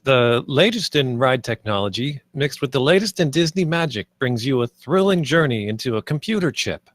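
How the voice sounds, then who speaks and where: A man acts out an eager, nervous young designer at a script read-through. His voice sits at a medium pitch with very little room around it. He talks like a salesman who sometimes hesitates. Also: lively intonation, normal speed, no echo, clean studio sound, lightly acted. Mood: nervous salesmanship. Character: eager, nervous 27-year-old theme park designer pitching ideas.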